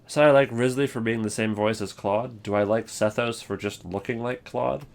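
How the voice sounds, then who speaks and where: A man giving casual, opinionated video commentary on game voice lines, thinking aloud in halting, rambling sentences. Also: medium pitch, fairly even intonation, creaky. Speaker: video host.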